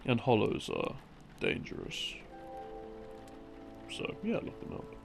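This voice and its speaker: A man with a heavily creaky voice rambles through an explanation as a sleep aid. His pitch moves around freely while he pauses, muses and picks up again without hurry. Music plays clearly behind him. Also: wildly swooping pitch. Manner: halting, unhurried, musing, trailing off. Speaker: audio creator playing a rambling boyfriend. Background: soft background music.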